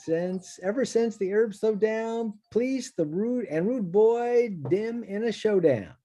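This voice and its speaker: A man's voice: high voice, a little slow, mellow. A man playing a laid-back reggae-loving cannabis grower with a put-on in a play table read. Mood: laid-back, grooving. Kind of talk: table read of a stage play, half-chanted rap. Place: remote video call.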